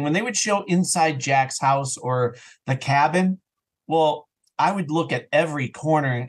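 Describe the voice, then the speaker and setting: A man talking casually as an interview guest about his creative work, telling things in an animated, self-interrupting way. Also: fairly high pitch. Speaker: audio drama writer and actor, interview guest.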